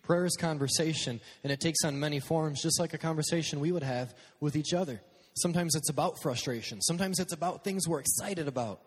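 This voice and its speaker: A man preaching a casual, energetic sermon to young people on a stage microphone, dropping to quiet prayer at times. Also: a little high, fairly quick, slightly rough, in a large hall. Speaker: youth pastor. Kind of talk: youth sermon. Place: church hall with a live sound system.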